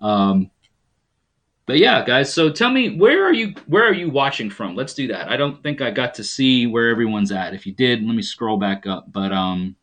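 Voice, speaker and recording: A man's voice: mid-range voice, lively intonation, moderate pace, fairly bright tone. A man from rural New York hosting a live stream, chatting casually and rambling with frequent ums as he reads viewers' comments. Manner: greets viewers and reads their comments aloud.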